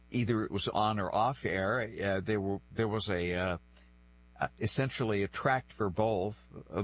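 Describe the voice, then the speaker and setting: A man in his sixties from the northeastern United States, a native French speaker, telling personal stories reflectively as an interview guest. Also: fairly low pitch. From New Bedford, northeastern United States. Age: 60s. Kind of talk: conversational interview, personal storytelling.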